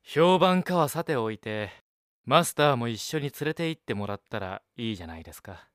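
A man's voice: mid-range voice, expressive, a little fast, clear, resonant tone, dry recording, natural voice. A male actor portrays a polite young café assistant in an audio drama. His mid-range voice stays composed, with formal and courteous phrasing. The recording is dry and close. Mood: matter-of-fact. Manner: courteous formal speech, gently unruffled. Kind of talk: Japanese audio drama acting.

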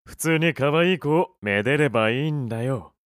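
A male actor as a gruff café owner in an audio drama. His medium-pitched voice is casual and rough-edged, with a vain streak and a habit of grumbling bluntly. It is recorded very dry. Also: lively intonation, normal speed, dry recording, natural voice. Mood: dry teasing. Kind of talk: Japanese audio drama acting.

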